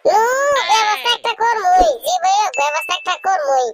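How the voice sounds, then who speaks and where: Very high, childlike cartoon bachelor. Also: male voice, big pitch swings, a little fast, very noisy. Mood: eager, fretting. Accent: rural regional Bengali. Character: bashful, gullible, penniless young village bachelor. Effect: pitched-up cartoon voice. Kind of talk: comic cartoon dialogue.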